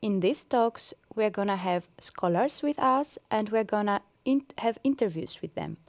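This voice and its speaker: A woman's voice: medium pitch, lively intonation, unhurried, no echo. A woman hosting an academic interview, asking short friendly questions. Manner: friendly, brief prompting questions. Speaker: interview host.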